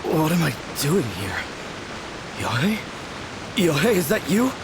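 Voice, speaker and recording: A man's voice: on the high side, very animated, measured pace, background noise. An actor voicing a dazed, self-deprecating young man in a video game, bewildered and confessional.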